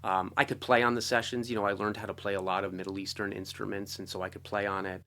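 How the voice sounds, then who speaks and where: Middle aged american guy, lowish voice, rambly and into it, telling career stories on an interview. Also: little pitch movement, fast talker, pushed and bright. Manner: enthusiastic, rambling, punctuated with "like" and "you know". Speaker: interview guest, film and television composer. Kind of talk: casual conversational storytelling.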